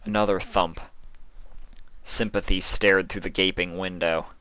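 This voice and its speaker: A narrator giving a measured, expressive, dramatic reading of a fan fiction story. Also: low voice, dry recording.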